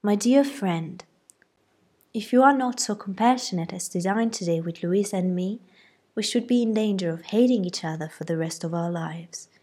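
A woman voicing a mild, gentle young woman who speaks calmly in a storybook reading. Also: medium pitch, moderate pace, breathy edge, lightly acted.

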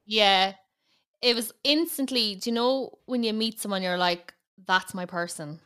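Irish woman, mid pitch, relaxed chatty guest, self-mocking. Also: a little slow, smooth. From Ireland. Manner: easygoing, self-deprecating. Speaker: podcast guest, makeup artist. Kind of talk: casual chat.

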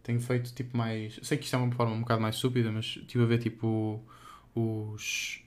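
A man's voice: on the low side, monotone, fairly quick. A young man with a European Portuguese accent chatting casually and informally as a podcast co-host, using plenty of slang. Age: young adult. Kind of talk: casual chat.

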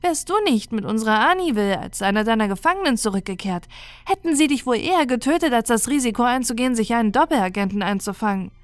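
Storybook companion, firm and persuasive, pitched up, voiced by a woman reader. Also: animated, quick pace, no room sound, natural voice. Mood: earnest. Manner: raised pitch, insistent and lecturing.

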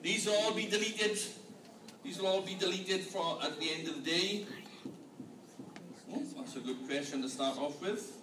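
A man's voice: high voice, normal speed, long pauses. Playful male preacher quizzing his church, distant mic, noisy room. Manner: playful, quizzing the congregation with questions. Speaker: church leader leading a family service. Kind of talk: interactive family sermon. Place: church hall. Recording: echoey hall, mic from afar, room noise.

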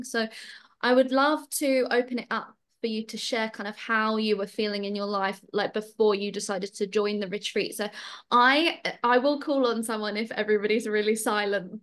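A woman hosting a podcast about her retreat, chatty, effusive and grateful as she welcomes her guests. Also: a little high. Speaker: podcast host and retreat leader.

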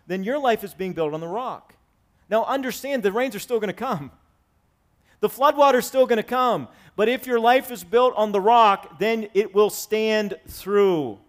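A man in his forties preaching a sermon to his congregation, swinging between raised, emphatic lines and quiet, conversational asides. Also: sits high. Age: 40s. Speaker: pastor. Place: church sanctuary with congregation.

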